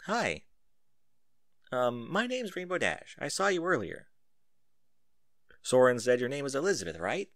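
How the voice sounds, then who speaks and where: A man's voice: mid-range voice, very animated, a little slow, long pauses, fairly bright tone, no echo, studio quality. A man voicing a brash young female pegasus pony, speaking bluntly and casually in a story reading. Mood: shy, friendly.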